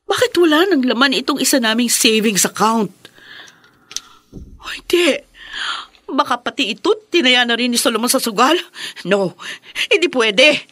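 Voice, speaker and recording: A worried young Filipino mother in a radio drama. Her voice sits a little high, recorded very dry, and she carries her troubles in a hesitant, weary manner. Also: a bit bright, no echo, exaggerated voice. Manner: subdued, hesitant with her father.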